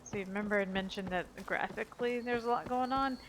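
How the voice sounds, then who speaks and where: A woman's voice: medium pitch, fast talker, noisy background. A woman giving casual commentary while playing through a video game, with game sound behind her. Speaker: gameplay video host. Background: game music and sound effects.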